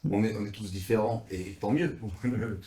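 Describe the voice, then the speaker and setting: Casting: man as conversational interviewer, warm dark tone, careful and hesitant, echoing room sound. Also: fairly low pitch, fairly even intonation, normal speed, a little hiss or hum. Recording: roomy, echoing live sound.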